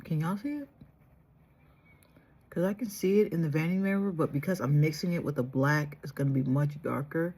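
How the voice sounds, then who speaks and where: A woman vlogger chatting casually through a makeup tutorial, soft-spoken and trying to project with a sore, strained throat. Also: deep voice, a little fast, husky. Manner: chatty, addresses viewers directly, trails off and restarts. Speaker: beauty vlogger.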